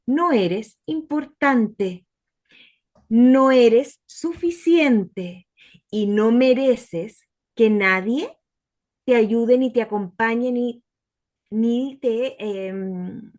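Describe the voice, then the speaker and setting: A woman with a fairly high voice, talking as a guest in a relaxed conversation. She reflects aloud and pauses to find her words. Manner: reflective, searches for words mid-sentence. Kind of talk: casual conversation.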